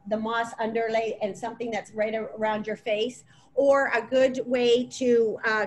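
A middle-aged woman presenting as a stylist in an informal webinar, explaining in a halting way over a video call. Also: fairly high pitch, low-quality recording. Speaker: colour analysis stylist presenting. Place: clothing boutique, indoors. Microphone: laptop or tablet on video call. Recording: video call with clear background noise.

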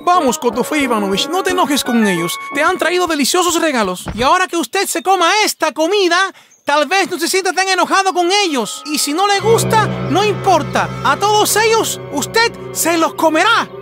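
A woman voicing a sly, coaxing female fox in a cartoon, with a theatrical, flattering manner. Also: very high-pitched, normal speed, music in the background. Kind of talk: cartoon voice acting.

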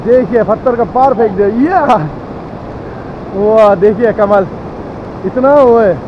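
Guy vlogging by a river, high voice, exclaims a lot, loud water noise all over it. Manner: exclamatory, repeats phrases. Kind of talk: casual outdoor vlog commentary. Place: outdoors on a rocky riverbank. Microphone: handheld camera microphone. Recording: dull audio, loud rushing river water. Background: rushing river water.

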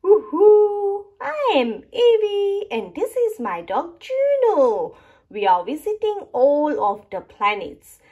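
A woman voicing an excitable young child exploring space in a picture book, with playful, exclamatory wonder. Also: extremely high pitch, takes it slowly, highs cut off, pitched above the performer's own voice, heavily acted. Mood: excited.

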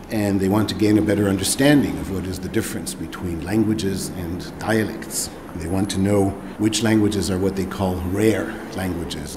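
Deep-voiced man giving a measured talk. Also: noisy background. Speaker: academic researcher giving a talk. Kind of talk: formal talk. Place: seminar room.

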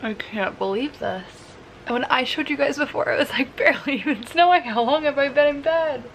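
A young woman vlogging casually from her bed with a croaky morning voice and a relaxed, chatty manner full of fillers, filmed on a camera held at arm's length. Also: high-pitched, a little fast, a little hiss or hum. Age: young adult. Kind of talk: casual vlog chat. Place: bedroom, in bed. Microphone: handheld camera at arm's length.

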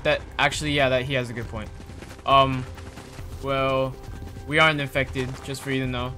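A man improvising informal roleplay chat in a video game, reacting in an animated way. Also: mid-range voice, moderate pace, soft background music. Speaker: roleplay video host and player.

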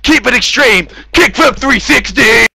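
A man giving a mocking, over-the-top, profane read-aloud of gamers' posts, often breaking into shouts. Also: extremely high pitch, unhurried, gravelly, breathy edge, no room sound. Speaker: gamer in a voice chat.

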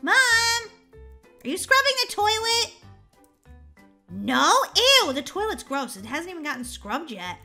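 Excitable, entitled young girl for game roleplay, played by a woman; high, animated, dramatic. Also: moderate pace, vocal fry, few pauses, faint music behind, exaggerated voice. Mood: casual, puzzled. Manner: exaggerated, dramatic. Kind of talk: children's game roleplay acting.

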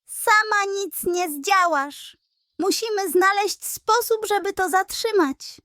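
A woman's voice: extremely high pitch, slow, bright, forceful tone, no room sound, studio quality, pitched above the performer's own voice, full character voice. A woman voicing an agile, earnest jester sidekick in a children's storybook. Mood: urgent. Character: agile card-world jester, urgent and wise sidekick.

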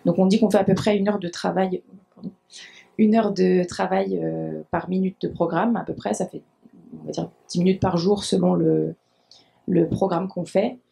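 A woman's voice: lowish voice, steady tone, normal speed, vocal fry, warm tone. A woman in her thirties speaking on a university panel with a handheld mic, explaining her work in a hesitant way with many fillers. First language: French. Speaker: dubbing adapter speaking on a panel. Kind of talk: conference panel talk. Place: university lecture hall.

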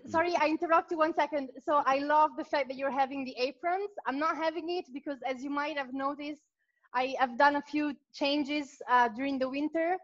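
A high-voiced woman hosts a live cooking class over a video call. She laughs easily and trips over her words now and then. The sound is dull and lo-fi, like a laptop mic. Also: fairly even intonation. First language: Italian. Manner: warm, laughs often, halting restarts. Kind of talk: video call group chat. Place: home kitchen.

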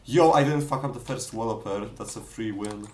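A man in casual voice chat during a speedrun race, excited and swearing as he banters with friends. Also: lowish voice, moderate pace.

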